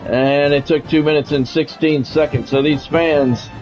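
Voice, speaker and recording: A man's voice: fairly high pitch, steady tone, unhurried, a little mellow. A man streaming a sports video game, switching between play-by-play commentary and casual chat. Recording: dull lo-fi audio, music behind.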